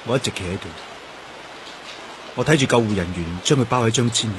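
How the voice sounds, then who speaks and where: A man's voice: mid-range voice, quick pace, background noise, natural voice. A man acting in a Cantonese radio drama with a Hong Kong accent, playing a grief-stricken police detective who also narrates. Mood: numb, sorrowful. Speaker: actor.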